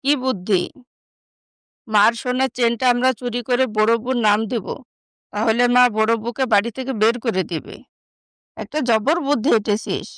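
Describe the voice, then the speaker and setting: A woman acts out a scheming, bossy young sister in an animated moral story. The voice is high and clear, with a dry recording. She scolds and belittles in a spiteful, scornful way. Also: normal speed, pauses often, no echo, close to an everyday voice.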